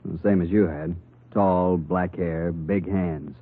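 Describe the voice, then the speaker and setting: A man's voice: sits low, steady tone, slow pace. A man playing a matter-of-fact police colleague giving a briefing in a 1940s radio drama. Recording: tinny, hissy old radio recording.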